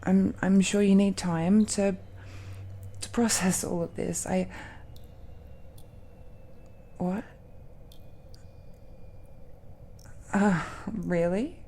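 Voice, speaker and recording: Romance audio roleplay: a woman as a possessive, adoring dragon-blooded girlfriend. Creaky low-key murmur, halting, tender delivery, extremely unhurried. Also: fairly even intonation, slight background noise. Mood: overwhelmed, unworthy. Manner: hesitant, halting, with breathy murmurs and soft laughs.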